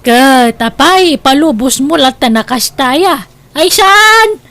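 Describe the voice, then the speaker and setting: A woman's voice: high voice, lively intonation, slow pace, a bit bright, full character voice. A woman playing a devoted, hardworking young elder sister with a Filipino accent, earnest and emotive in an Ilocano family radio drama. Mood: indignant.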